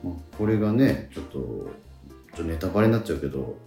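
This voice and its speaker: A man's voice: sits low, lively intonation, very fast, mellow, roomy sound. A man hosting a casual radio talk show. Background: background music.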